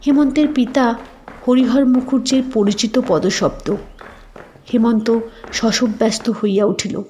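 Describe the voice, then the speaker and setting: A woman reading scripted literary narration as a storyteller, measured and expressive. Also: fairly high pitch, a little hiss or hum.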